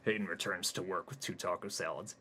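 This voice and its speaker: A man reading his own crude comic story in a deadpan narrating style. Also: sits low, fairly quick, a little hiss or hum. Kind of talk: comic stream-of-consciousness narration.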